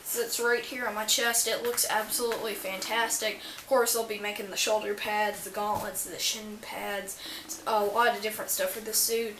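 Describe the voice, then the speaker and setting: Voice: mid-range voice, level tone, normal speed. Echoey, enthusiastic casual vlogger voice. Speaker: cosplay vlogger showing homemade armor. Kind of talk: casual show-and-tell chat. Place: indoor room, echoey. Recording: echoey room with faint background noise.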